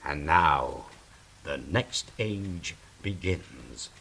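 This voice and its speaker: A man's voice: very deep voice, lively intonation, extremely slow, creaky, sharp tone, slight background noise, exaggerated voice. A man playing a solemn, aloof angel in an audio drama, speaking in a grave, dry, formal way. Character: solemn, aloof male angel at the end of time.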